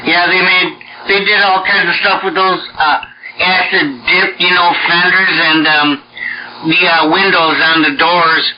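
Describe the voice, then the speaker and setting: Casual Midwestern American man, fairly high voice, radio hobbyist, dull and staticky transmission. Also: little pitch movement, unhurried. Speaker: amateur radio net participant. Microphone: two-way radio. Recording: noisy band-limited shortwave radio transmission.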